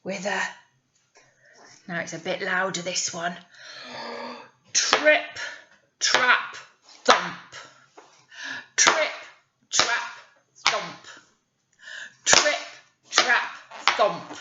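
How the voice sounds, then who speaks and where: A woman's voice: mid-range voice, lively intonation, very slow, pauses often, sharp tone. A British woman, a teacher, telling a story to young children expressively, with coaxing questions and asides, on a slightly dull recording.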